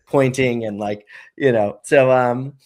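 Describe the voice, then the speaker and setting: A man's voice: mid-range voice, fairly even intonation, slow pace, darkish tone. A man in his thirties, a brewery co-founder and podcast guest, telling a loose, casual story full of "you know" and "right?".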